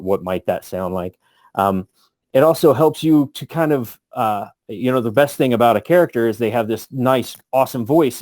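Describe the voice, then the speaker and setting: A man's voice: medium pitch, a little mellow, dry recording. A man giving a casual instructional talk as a tutor, thinking aloud as he explains. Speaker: voice tutor for tabletop gamers.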